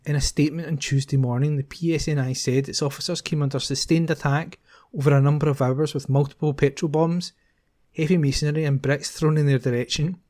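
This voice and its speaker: A man reading newspaper articles aloud in a steady, careful way, now and then restarting a word. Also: a little high, fairly quick. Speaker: volunteer newspaper reader.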